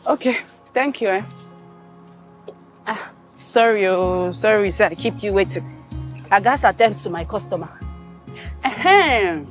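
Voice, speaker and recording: An actress with a Nigerian Pidgin accent playing a blunt, talkative shopkeeper who keeps questioning, in a film drama. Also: female voice, medium pitch, animated, measured pace, long pauses, soft background music, natural voice. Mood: chatty, questioning. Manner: questioning, chatty.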